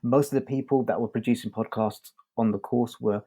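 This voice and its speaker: A man's voice: mid-range voice, fairly even intonation, brisk, mellow, slightly rough. A man hosting a podcast, talking through a review in a casual, hesitant, circling way.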